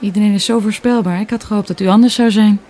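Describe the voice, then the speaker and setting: Young, downcast daughter for radio drama, played by a woman: soft-edged, mellow, guarded, natural delivery. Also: medium pitch, steady tone, fast talker, slight background noise, close to an everyday voice. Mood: melancholy. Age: young adult. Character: melancholy, guarded young daughter, doubting her mother. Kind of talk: Dutch radio play dialogue, naturalistic.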